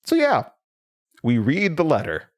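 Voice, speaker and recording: A man's voice: on the high side, huge pitch swings, unhurried. Man playing a haunted, swooping-voiced troubled man. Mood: bitter sarcasm. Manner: stammering, sarcastic. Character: troubled young amnesiac man, haunted, bitter and defensive. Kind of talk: audio drama acting.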